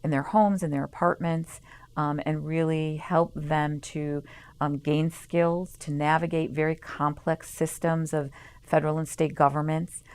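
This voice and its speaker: A woman on staff at a health center, explaining its services in a measured way with frequent pauses, over soft music. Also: deep voice, level tone, clear, resonant tone. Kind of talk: promotional interview.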